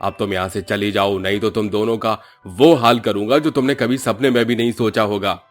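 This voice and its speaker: Guy doing a crooked village moneylender for a cartoon, low voice, threatening and smug, music underneath. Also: fairly even intonation, a little fast, lightly acted. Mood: gloating menace. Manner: bullying, gloating. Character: greedy cheating village moneylender, cruel and threatening man.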